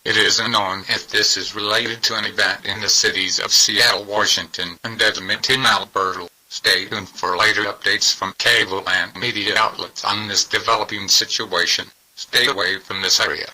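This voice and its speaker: A man's voice: on the low side, fairly even intonation, normal speed, hardly any pauses, bright, forceful tone, poor audio. A man reading a scripted emergency alert in a formal, impersonal official manner.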